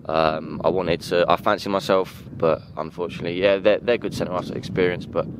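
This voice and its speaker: A young man, a footballer giving an earnest, halting post-match interview at a pitch outdoors at night, speaking into a handheld mic. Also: steady tone, background noise. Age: young adult.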